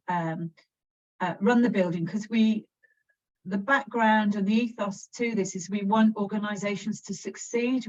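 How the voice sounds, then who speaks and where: A woman with an English accent, a council officer explaining a process in an informal presentation, steady and practical with frequent restarts. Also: medium pitch. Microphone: video call. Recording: distant, dull video call audio.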